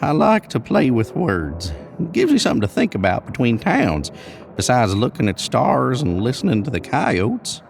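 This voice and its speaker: A man's voice: medium pitch, wildly swooping pitch, moderate pace, gravelly, faint music behind, pitched above the performer's own voice. A man voicing a craggy, sly cowboy outlaw who tells campfire tales in a folksy frontier drawl for a Western audio story. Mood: sly, musing.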